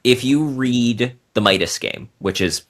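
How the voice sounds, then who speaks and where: A man's voice: medium pitch, a little slow, fairly bright tone. A man speaking as a podcast guest, explaining things in an articulate, conversational way. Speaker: podcast guest, adventure novelist. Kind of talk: conversational interview, explaining his writing.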